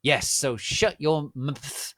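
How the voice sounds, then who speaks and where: A man voicing an angry, stern older witch professor who shouts and scolds haughtily in a story. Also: medium pitch, slow, no room sound, clean studio sound, voice pushed up. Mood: outraged.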